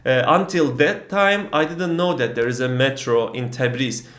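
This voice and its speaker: Turkish-accented man, animated travel story. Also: mid-range voice, moderate pace, reverberant. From Turkey. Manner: non-native English, frequent fillers, animated retelling. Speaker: travel vlogger. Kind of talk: casual storytelling vlog.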